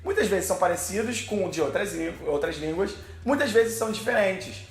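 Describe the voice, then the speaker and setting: A man's voice: high-pitched, lively intonation, brisk, reverberant. A Brazilian man with a Brazilian Portuguese accent, teaching English pronunciation in a video lesson, posing questions and then answering them.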